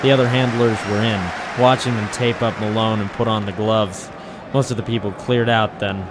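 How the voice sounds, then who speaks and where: A man's voice: fairly low pitch, moderate pace, over a noisy crowd, heavy background noise, natural voice. Man as cynical hardboiled American private eye. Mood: cynical narration. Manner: clipped, sardonic, deadpan. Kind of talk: 1940s radio noir acting and first-person narration.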